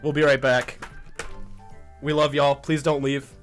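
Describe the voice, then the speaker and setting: A man's voice: mid-range voice, flat delivery, unhurried, faint music behind. A man co-hosting a livestream with casual, jokey, exclamatory banter. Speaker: livestream co-host.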